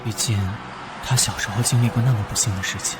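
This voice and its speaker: A man's voice: lowish voice, steady tone, quick pace, lightly acted. A man playing an earnest, caring young male detective and protective older brother in an animation dub. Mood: wistful, pensive. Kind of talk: Mandarin animation dub acting with inner monologue. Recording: background music under the voice.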